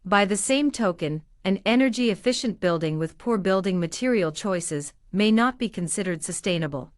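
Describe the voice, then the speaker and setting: A woman reading scripted practice passages aloud in a measured, formal way. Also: mid-range voice, animated, no echo, clean studio sound. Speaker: narrator of test practice passages. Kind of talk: scripted read-aloud narration.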